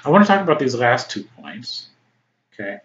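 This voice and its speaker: A man's voice: medium pitch, very animated, brisk, fairly bright tone. Chatty Chicago man explaining to camera. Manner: conversational, frequent "right?" and "okay?" check-ins, many ums. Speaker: English tutor. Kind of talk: informal lecture to camera. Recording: slightly dull lo-fi audio with faint noise.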